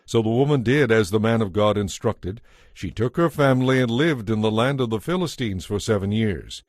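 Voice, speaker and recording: A man's voice: on the low side, lively intonation, moderate pace, no echo, studio quality. A man reading scripted narration for an audio Bible.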